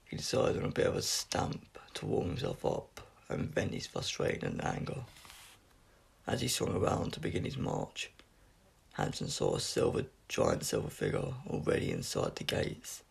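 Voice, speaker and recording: A man reading a science fiction story aloud as scripted narration, in a gravelly, husky voice with an unpolished, amateur delivery. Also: a bass voice, wildly swooping pitch, normal speed. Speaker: audiobook reader.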